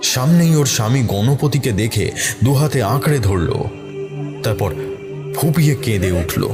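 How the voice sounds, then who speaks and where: A man's voice: medium pitch, expressive, measured pace. A man narrating a scripted horror story in a dramatic style. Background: music behind the voice.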